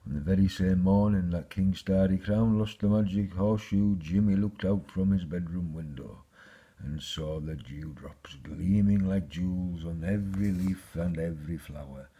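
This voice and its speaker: A man with a husky voice, reading a children's fairy tale aloud as a storyteller. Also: low voice, warm tone.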